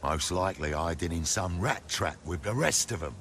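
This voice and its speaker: Gravelly, cocky street looter. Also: male voice, sits low, lively intonation, moderate pace, a bit bright, slight background noise. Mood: sly, knowing. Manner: cocky, slangy, sly. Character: scruffy male street looter, cocky, sly lowlife. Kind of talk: video game voice acting.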